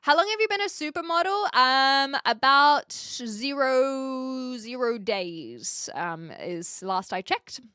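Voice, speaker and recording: Bright, posh Kiwi woman streaming. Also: sits high, takes it slowly, clean tone, dry recording, clean studio sound. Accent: posh New Zealand. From New Zealand. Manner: chatty, expressive, giggly. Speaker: livestream host. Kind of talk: casual livestream chat, answering viewer comments.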